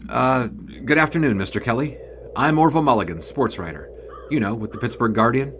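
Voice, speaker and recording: An actor playing a 1920s sports writer who narrates in a dry, wry, formal manner. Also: male voice, medium pitch, animated, brisk, background noise, natural voice. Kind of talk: audio drama narration and acting.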